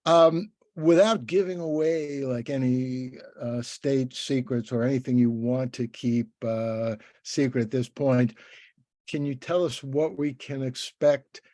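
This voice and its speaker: A man hosting a casual podcast interview, talking in a halting, rambling, encouraging way. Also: mid-range voice, steady tone, dark tone, dry recording, clean studio sound. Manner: halting, rambling, with many repeated word starts.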